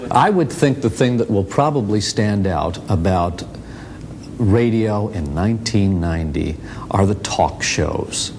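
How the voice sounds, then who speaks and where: A man speaks as an interviewed expert. He is reflective and picks his phrases slowly and haltingly. Faint noise and rumble sit behind him. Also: expressive, a little mellow. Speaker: interviewed broadcasting author. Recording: clear background noise with low rumble.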